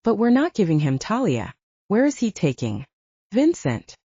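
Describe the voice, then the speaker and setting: A woman's voice: medium pitch, huge pitch swings, moderate pace, warm tone, breathy edge, no room sound, highs cut off, exaggerated voice. A woman voicing an anxious but determined young woman in an audiobook, urgent and resolute. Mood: worried, demanding.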